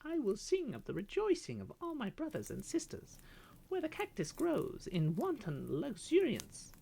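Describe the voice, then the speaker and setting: A woman's voice: a little high, wildly swooping pitch, moderate pace, creaky, mellow, husky, pitched above the performer's own voice, full character voice. A woman voicing a wistful, homesick male canary bird who speaks in a lyrical, yearning sing-song. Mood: longing.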